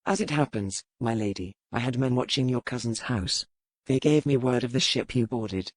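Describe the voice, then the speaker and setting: An actor playing a dangerous, brooding pirate earl in a romance audiobook, calm and measured, with formal old-fashioned phrasing. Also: male voice, medium pitch, no room sound, natural voice. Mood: calm, matter-of-fact. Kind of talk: dramatized romance audiobook dialogue.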